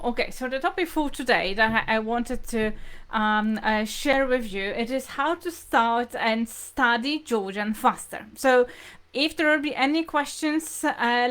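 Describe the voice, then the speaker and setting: Higher-pitched woman teaching. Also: a bit bright. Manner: hesitant, repetitive, explanatory phrasing. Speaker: language teacher hosting livestream lesson. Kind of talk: informal live lesson, addressing viewers.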